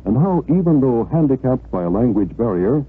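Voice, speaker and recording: A man announcing in scripted 1950s radio public-service style, measured and declamatory. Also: medium pitch, very animated, slightly rough. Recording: tinny narrow-band old radio recording.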